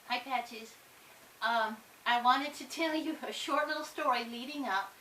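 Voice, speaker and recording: A woman's voice: a little high, bright, forceful tone, roomy sound. A woman chatting casually in a home video, telling a rambling story, recorded from some distance in a room with light hiss. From Iowa. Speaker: vlogger.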